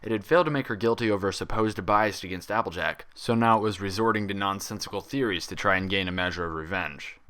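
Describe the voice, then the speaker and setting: A man's voice: on the low side, fast talker, hardly any pauses, sharp tone. A man narrating fan fiction as a scripted, read-aloud story.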